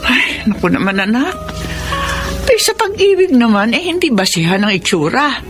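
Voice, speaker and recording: Doting, animated mother, over music. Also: fairly high pitch, moderate pace. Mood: encouraging. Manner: expressive, pleading. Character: doting, worried middle-aged mother, coaxing and earnest. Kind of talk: Filipino radio melodrama acting. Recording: drama with music bed behind.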